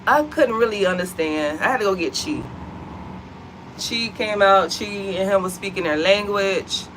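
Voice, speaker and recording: A woman's voice: medium pitch, moderate pace, a little hiss or hum, low-quality recording. Chatty American woman vlogging from home. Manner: chatty, animated, addresses viewers as y'all. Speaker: vlog co-host. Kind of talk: casual chat to viewers. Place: at home, seated.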